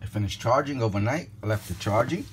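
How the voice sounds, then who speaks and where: Male reviewer, mid-pitched, casual and tentative, talking through a gadget indoors over noticeable background noise. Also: lively intonation, fast. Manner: tentative, thinking aloud. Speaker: product reviewer. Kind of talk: casual unboxing commentary. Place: indoors, at a kitchen counter. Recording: clearly noisy home recording.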